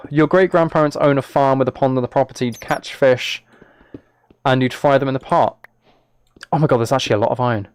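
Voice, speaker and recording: A man's voice: medium pitch, fairly quick, long pauses, clean tone, dry recording. British man live streaming, dry close-miked sound. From United Kingdom. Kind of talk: casual game livestream chat with viewers.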